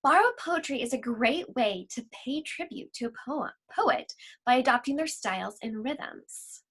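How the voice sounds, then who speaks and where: A woman teaching a lesson for children, explaining simply and reading aloud deliberately, like a school library teacher. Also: sits high, lively intonation, a bit bright, husky.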